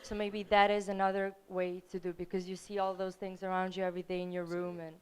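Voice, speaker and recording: Woman, Serbian accent, young adult, smooth clear voice, friendly, hesitant. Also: fairly low pitch, flat delivery, brisk, a little mellow. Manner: friendly, hesitant, many "um"s and "right?". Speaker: audience member. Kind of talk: spontaneous audience comment. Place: lecture hall.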